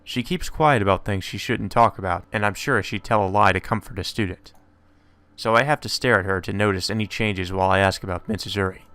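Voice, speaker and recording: A man's voice: lowish voice, a little fast, sharp tone, clean tone. A man hosting a let's play, reading visual novel dialogue aloud in an informal way and adding asides. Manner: informal, slips into character voices. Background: video game music.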